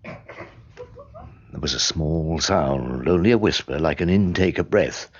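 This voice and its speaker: A man's voice: a bass voice, lively intonation, takes it slowly, slightly rough, lo-fi sound, heavily acted. An actor playing a portly, wry, world-weary older English barrister who narrates his own story in a droll, ruminative way. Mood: dryly amused. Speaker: narrator and lead character. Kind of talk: radio drama acting with wry storytelling.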